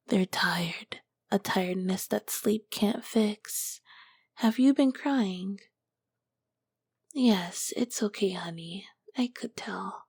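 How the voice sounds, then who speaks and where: Slow, breathy whispering succubus, acted by a woman. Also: medium pitch, darkish tone, no room sound, studio quality, exaggerated voice. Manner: slow, soothing, doting endearments. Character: ancient nurturing succubus queen, tender demon woman. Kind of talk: ASMR roleplay.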